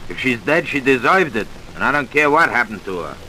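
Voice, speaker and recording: A man's voice: mid-range voice, big pitch swings, brisk, sharp tone, poor audio. A man playing a callous, guarded wife-killer in an old radio crime drama. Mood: defiant, indignant. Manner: defensive, guarded, tough. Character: callous, defiant jailed wife-killer, male truck driver. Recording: hissy old radio recording.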